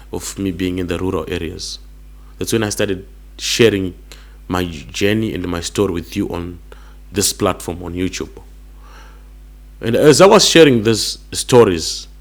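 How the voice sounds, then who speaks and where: A middle-aged Zimbabwean man with a hoarse, flu-worn voice, talking earnestly and personally to camera in a reflective vlog. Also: sits low. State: hoarse from a cold. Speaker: vlogger.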